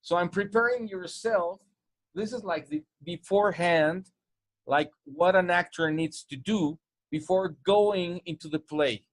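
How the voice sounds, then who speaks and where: A man's voice: high-pitched, a little slow, no room sound. A man from Mexico with a Spanish-tinged English accent, coaching on sales in casual chat, often restarting and repeating words. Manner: repetitive restarts, stumbling over words.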